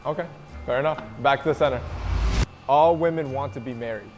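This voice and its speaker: A man hosting a group debate video, moderating casually with brisk, quick reactions. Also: mid-range voice, in a large hall, music in the background.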